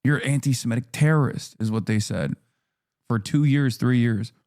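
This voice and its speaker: A man commenting casually, pausing and restarting as he talks. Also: mid-range voice, a little mellow, dry recording, studio quality. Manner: halting, hesitant phrasing.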